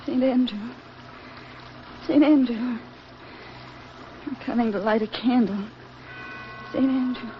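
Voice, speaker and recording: A woman's voice: a little high, fairly even intonation, takes it slowly, pauses often, slight background noise. An actress playing a frail, lovelorn young woman, fervent and breathless in 1940s radio melodrama style. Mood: desperate, devout. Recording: dull 1940s radio recording, music behind.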